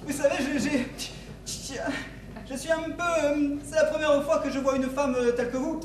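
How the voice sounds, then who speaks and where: A man's voice: extremely high pitch, steady tone, normal speed. A man playing a lonely, put-upon newcomer from the mainland in improvised stage comedy, plaintive and hesitant. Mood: flustered. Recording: echoey hall from far off, noisy.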